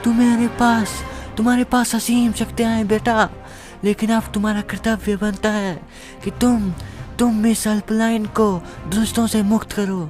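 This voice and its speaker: A woman's voice: on the high side, flat delivery, moderate pace, darkish tone, close to an everyday voice. A woman playing a regal, solemn serpent queen who gives guidance in a fantasy story drama. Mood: solemn, guiding. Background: faint background music.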